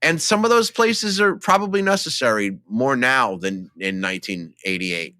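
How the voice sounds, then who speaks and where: Guy on a movie podcast, super animated and emphatic, bright voice, dry close mic. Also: medium pitch, moderate pace, no room sound. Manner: enthusiastic, emphatic, conversational. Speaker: film podcast co-host. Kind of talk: casual film discussion. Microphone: studio microphone, close.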